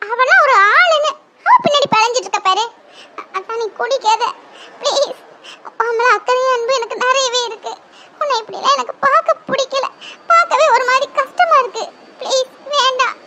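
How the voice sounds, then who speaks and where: A woman's voice: very high-pitched, fairly even intonation, normal speed, noisy background. A woman playing a young woman who pleads with her drunk lover, tearful and half-laughing. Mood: pleading.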